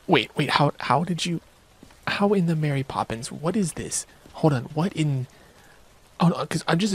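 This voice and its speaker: A man's voice: medium pitch, no room sound. A man narrating a video game review in an informal, wry, joking way, with casual reactions. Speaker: gaming video creator.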